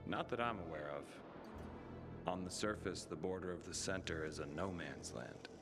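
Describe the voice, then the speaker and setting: A man's voice: deep voice, moderate pace. An actor playing a discreet male guild messenger who speaks formally and precisely. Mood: reserved. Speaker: guild carrier. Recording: game cutscene with music bed.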